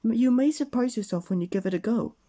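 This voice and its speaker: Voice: mid-range voice, lively intonation, quick pace, warm tone, voice pushed up, natural voice. A storybook reader voicing a brave, encouraging female character in an earnest, eager way.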